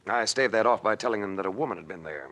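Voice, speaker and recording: An actor playing a cool, wry adventurer investigator in old-time radio drama, dry and unflappable. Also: male voice, lowish voice, animated, fast talker, fairly bright tone. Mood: wry, confident.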